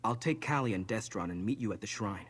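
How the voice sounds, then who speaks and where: A man voicing an earnest, protective young man with a husky voice in a video game. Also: fairly low pitch, expressive, quick pace, fairly bright tone. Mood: calm, reassuring. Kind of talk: video game character dialogue.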